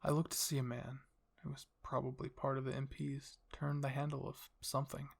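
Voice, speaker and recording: A man performs first-person ASMR story narration. His voice sits at a middling pitch, with plenty of vocal fry and a rough, breathy husk. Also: monotone, a little fast.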